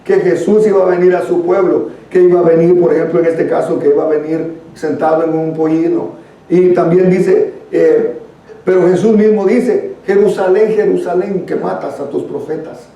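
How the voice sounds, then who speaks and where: A man's voice: on the high side, fairly even intonation, normal speed, dark tone. A man with a Guatemalan accent leading a church Bible study, reading and explaining scripture in an earnest, conversational teaching manner. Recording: very echoey room, distant microphone.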